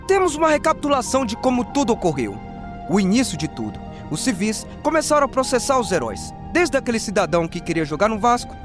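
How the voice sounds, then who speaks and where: A man with a Brazilian accent telling stories as a video host, dramatic and slangy with playful asides. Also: sits high. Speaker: host of a horror recap channel. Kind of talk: dramatic scripted storytelling narration. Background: music.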